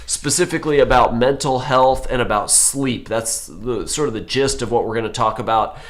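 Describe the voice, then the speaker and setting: A man in his forties, an orthopedic surgeon hosting a live health show, with a voice going hoarse from a cold, rambling and correcting himself with many fillers. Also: mid-range voice, normal speed. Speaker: host.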